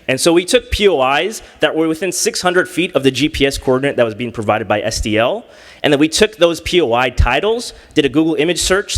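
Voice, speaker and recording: A man presenting his hackathon team's project on a conference stage, halting and full of fillers. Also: mid-range voice. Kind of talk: live pitch presentation. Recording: long reverb from a hall.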